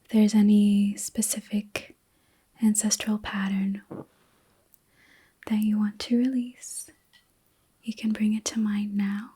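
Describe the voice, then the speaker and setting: A woman hosting an ASMR reiki session in a soft, breathy whisper, gentle and soothing, with unhurried pauses. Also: mid-range voice, level tone. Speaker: ASMR host and reiki practitioner.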